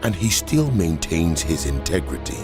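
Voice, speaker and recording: An extremely deep, majestic God. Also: male voice, sing-song, music in the background. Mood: solemn authority. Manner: grave, authoritative, measured. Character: God, solemn, commanding, majestic male deity. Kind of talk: dramatized audio Bible acting.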